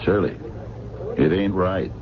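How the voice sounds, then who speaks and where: An actor playing a gruff, protective, worried diner cook with earnest, plain working-class talk in a 1970s radio drama. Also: male voice, deep voice, slow pace, a crowd in the background. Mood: worried, pleading. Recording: muffled, noisy vintage radio broadcast.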